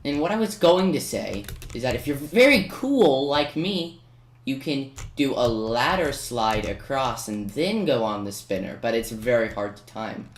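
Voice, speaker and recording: A man talks casually through a video game at a medium pitch, poking fun at himself and then playfully bragging. The recording is roomy and noisy, with keyboard clicks behind his voice. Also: sing-song, a little slow. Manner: self-mocking, playfully boastful. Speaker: gaming video maker. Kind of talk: casual gameplay commentary.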